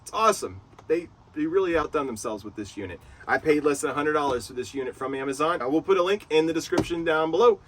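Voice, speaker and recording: A man's voice: on the high side, expressive, fast talker, hardly any pauses. A man hosting a casual product review vlog in an enthusiastic way. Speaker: video host reviewing gear.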